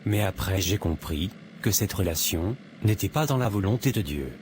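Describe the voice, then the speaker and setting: Man acting a low-voiced earnest young son. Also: lively intonation, moderate pace, darkish tone, a little hiss or hum. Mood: grateful, sincere.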